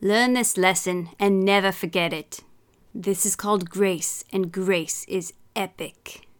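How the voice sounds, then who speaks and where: Kindly father for a children's story, read by a woman: forgiving, gentle, reassuring. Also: medium pitch, steady tone, takes it slowly, close to an everyday voice. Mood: gently teaching.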